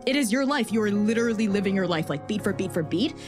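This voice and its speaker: A woman's voice: mid-range voice, lively intonation, fast, darkish tone. A woman narrating an improvised tabletop game as game master, describing the scene in a conversational way. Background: music.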